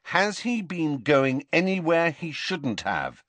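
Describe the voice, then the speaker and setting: A man voicing an earnest teenage boy who speaks quickly and decisively. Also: a little high, very animated, a bit bright, dry recording, voice pushed up, exaggerated voice. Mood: urgent.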